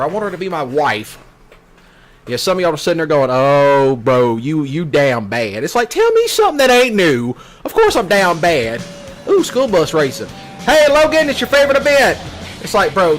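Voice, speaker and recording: Animated Southern US man, rambling casually. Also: on the high side, moderate pace. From Mississippi, US. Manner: colloquial, jokey, self-deprecating, quotes imagined listeners. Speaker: let's play streamer. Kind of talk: casual rambling rant over gameplay. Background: game music and vehicle sounds.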